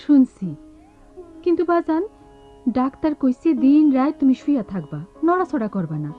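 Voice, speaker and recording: An actress playing a young, anxious, caring village daughter in a radio drama, with a rural Bangladeshi accent. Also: female voice, high-pitched, big pitch swings, moderate pace, phone-quality sound, faint music behind. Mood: concerned.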